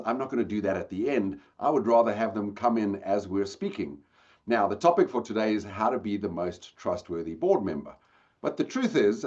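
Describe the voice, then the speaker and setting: Man, South African accent, low voice, dull lo-fi webinar sound, engaging presenter. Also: a little mellow. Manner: engaging, addresses audience directly. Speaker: guest speaker. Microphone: video call.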